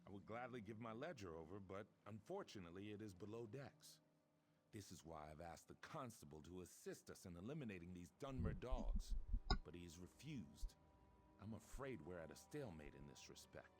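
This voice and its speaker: An actor playing a proud, stern ship captain in a game, speaking in a formal, theatrical way. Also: male voice, deep voice, very animated, fairly quick, background noise. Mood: regretful.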